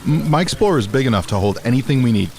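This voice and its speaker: A man playing an anxious, hurried neighbor in a radio drama. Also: medium pitch, animated, darkish tone, natural voice.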